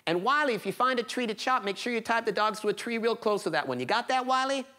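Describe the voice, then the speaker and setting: A man's voice: extremely high pitch, expressive, fast talker, pushed and bright, pitched above the performer's own voice, heavily acted. A man voicing a caring mother who firmly repeats her warnings to her son. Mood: insistent. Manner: insistent, repeats instructions.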